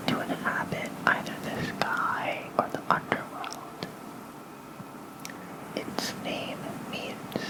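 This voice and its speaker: A very soft, fully whispered voice reads bedtime lore in an ASMR style. The delivery is slow, gentle and soothing. It is breathy and close on a fluffy mic. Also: low-quality recording. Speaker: ASMR host reading lore. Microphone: close fluffy-covered microphone.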